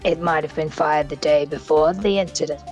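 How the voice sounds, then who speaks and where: A woman's voice: deep voice, steady tone, moderate pace, a little mellow, soft background music, close to an everyday voice. A woman voicing a haughty, sneering male veteran prosecutor in a live amateur game dub, with a formal, condescending delivery.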